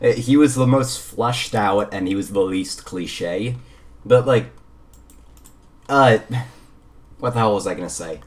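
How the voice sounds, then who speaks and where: A man's voice: on the low side, unhurried, long pauses. Rambling, opinionated man chatting about games. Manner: rambling, opinionated, casual swearing. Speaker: podcast co-host. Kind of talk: casual gaming chat.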